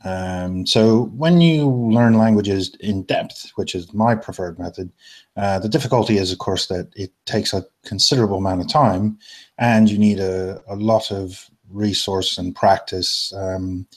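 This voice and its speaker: Male co-host, very low pitch, measured hesitant delivery. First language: English. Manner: measured, hesitant, frequent ums. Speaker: podcast co-host. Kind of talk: casual chat.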